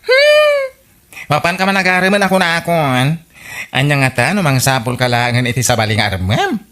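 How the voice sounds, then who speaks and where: A man with an Ilocano accent playing a nosy, teasing, excitable village busybody in a radio comedy drama. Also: a little high, normal speed.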